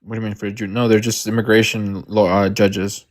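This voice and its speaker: A man's voice: on the low side, little pitch movement, fairly quick. Argumentative young man debating. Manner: argumentative, mocking impressions, swearing. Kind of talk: heated political debate.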